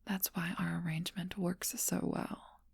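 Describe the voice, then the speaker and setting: Woman doing a vampire girlfriend whispering, low gravelly fry, super breathy, flat and slow, asmr close mic. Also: no echo, exaggerated voice. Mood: wistful.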